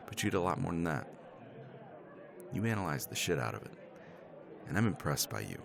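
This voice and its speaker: A man's voice: deep voice, sing-song, moderate pace, gravelly, crowd noise. A man playing a professor in a romance ASMR roleplay, teasing and self-deprecating, swearing casually and laughing often.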